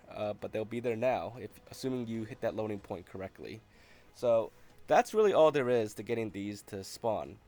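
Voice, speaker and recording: A man's voice: medium pitch, a little mellow. A man narrating a video game walkthrough in a casual, conversational, explanatory way.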